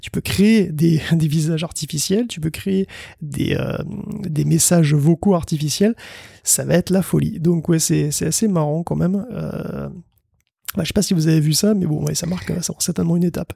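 A man's voice: on the high side, normal speed, vocal fry, warm tone, slightly rough, no room sound, clean studio sound. A man co-hosting a tech podcast in a loose, joking chat, full of fillers and restarts. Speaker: podcast co-host.

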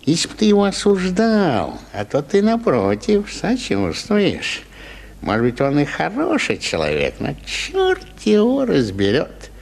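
A man's voice: a little high, wildly swooping pitch, unhurried, creaky, slight background noise, heavily acted. A man acting a sly, wary official in a radio play, speaking in a drawling, insinuating way. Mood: disapproving.

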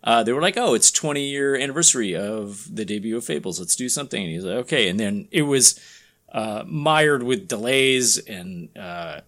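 A man's voice: mid-range voice, expressive, moderate pace, fairly bright tone. A man co-hosting a casual news podcast, explaining things conversationally and paraphrasing what people said as he goes.

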